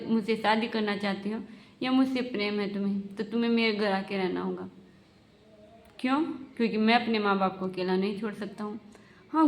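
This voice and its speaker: A woman with a mid-pitched voice recites a satirical spoken-word piece in the first person. Her delivery is deliberate, built on short declarative phrases. The recording is echoey, with the room clearly audible around her. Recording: roomy, echoing voice recording.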